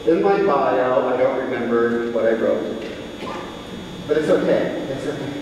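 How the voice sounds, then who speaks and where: A man's voice: mid-range voice, expressive, unhurried, noisy background. A man, a singer and composer, talking chattily and modestly to a live audience between songs. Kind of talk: live concert talk and singing. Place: performance hall. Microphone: room mic at a distance. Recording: echoey hall, voice from far off. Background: instrument music.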